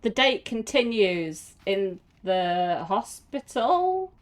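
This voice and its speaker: A woman's voice: mid-range voice, sing-song, slow. A woman giving casual, playful commentary as she reacts to game cutscenes. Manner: playful, chatty.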